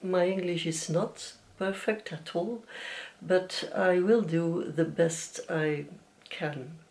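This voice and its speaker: A woman's voice: lowish voice, fairly even intonation, darkish tone. An older woman from Belgium, a native Dutch speaker with a Belgian Dutch accent, hosting her knitting podcast and talking carefully with frequent hesitations. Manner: measured, with frequent hesitations and self-corrections. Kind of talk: slow, careful casual talk to camera.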